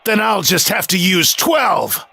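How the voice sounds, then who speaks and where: A man playing a proud, stern martial arts fighter in an anime game, speaking in a measured, self-assured, formal way with a gravelly voice. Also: sits high, very animated, bright, forceful tone, dry recording, heavily acted. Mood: confident, challenging. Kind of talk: anime video game voice acting.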